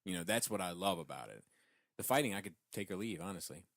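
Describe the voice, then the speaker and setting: Low-voiced man chatting about comics. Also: expressive, fast talker, vocal fry, a bit bright, no room sound, studio quality. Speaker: podcast co-host. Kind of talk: casual chat about comic books.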